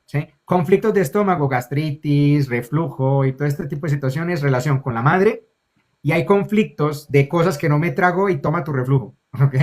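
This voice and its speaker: A man with a Colombian accent, a spiritual guide explaining ideas in conversation and often checking in with "ok?" and calling the listener lovely. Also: on the high side. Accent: Colombian Spanish. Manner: affectionate, keeps checking in with "ok?". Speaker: spiritual guide, interview guest.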